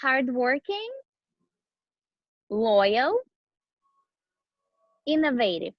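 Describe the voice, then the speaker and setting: A woman's voice: high voice, big pitch swings, drawn-out words, no echo. Bubbly woman in muffled, dry audio. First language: Romanian. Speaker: guest, professional dancer. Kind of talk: casual chat.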